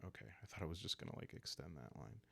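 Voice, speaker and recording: A man with a calm, creaky voice hosting a relaxed solo roleplaying game, musing aloud in an unhurried, hesitant way. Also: deep voice. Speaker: host of a solo roleplaying playthrough. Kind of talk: relaxed ASMR game narration, thinking aloud.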